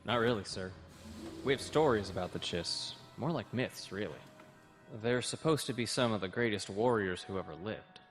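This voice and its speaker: A man's voice: fairly low pitch, normal speed, natural voice. A man playing a young naval cadet and translator in a sci-fi audio comic, plainspoken, dutiful and respectful but guarded. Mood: respectful, unsure. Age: young adult. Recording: faint music behind the voice.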